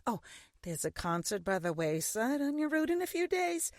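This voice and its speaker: A woman's voice: a little high, sing-song, normal speed, dry recording, studio quality. A woman playing a gushing, doting yet imperious mother in a science fiction audio drama, with theatrical, cajoling delivery. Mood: doting, breezy. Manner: theatrical, effusive, cajoling with endearments. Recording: music under the voice.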